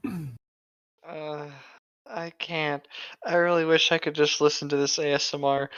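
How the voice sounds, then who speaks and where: A bright, forward voice of middling pitch, in casual chat and read-aloud among friends. The microphone is dull and a bit muffled, with a dry sound. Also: flat delivery, measured pace, smooth, no echo. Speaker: co-host of a comedic group read-along.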